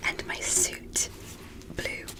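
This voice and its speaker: A woman playing a gentle, curious alien doctor in a whispered ASMR medical roleplay, speaking softly and breathily with careful, reassuring, formal phrasing. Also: very slow. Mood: gentle, encouraging.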